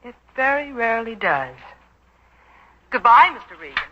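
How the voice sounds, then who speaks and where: A young woman in a vintage 1940s radio play. Her voice is high, sharp and animated, with period poise. The old recording is dull and noisy. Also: normal speed, full character voice. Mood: brisk, cool. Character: young woman, caring daughter or brisk cool secretary.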